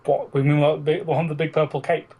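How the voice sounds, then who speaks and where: A man's voice: fairly high pitch, flat delivery, very fast, darkish tone. A British man co-hosting a podcast in casual, teasing, sweary banter with a friend. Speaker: podcast co-host.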